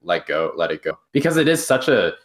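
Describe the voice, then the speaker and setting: A young man co-hosting a podcast, talking casually about a film in halting phrases full of likes and restarts. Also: low-pitched, very animated. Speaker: podcast co-host.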